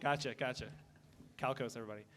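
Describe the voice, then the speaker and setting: A man's voice: medium pitch, fairly even intonation, fast. A man leading an informal fan convention panel, speaking into a microphone before a live audience. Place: convention panel room, indoors. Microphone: handheld or table microphone. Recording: live PA recording with loud audience.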